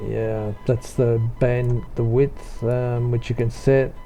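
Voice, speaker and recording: A man giving an unscripted software tutorial voice-over in a low-key, hesitant, halting way. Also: little pitch movement, soft background music.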